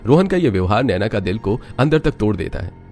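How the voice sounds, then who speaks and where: A man's voice: lowish voice, very animated, brisk, darkish tone, faint music behind. A man narrating a scripted family story as its storyteller.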